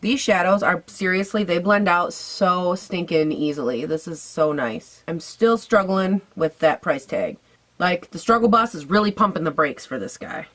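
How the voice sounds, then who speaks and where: A young woman with a raspy voice, hoarse from a cold, chatting casually and sassily through a makeup try-on at home. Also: fairly low pitch, lively intonation, normal speed, a bit bright. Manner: chatty, sassy, swears casually. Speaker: beauty vlogger.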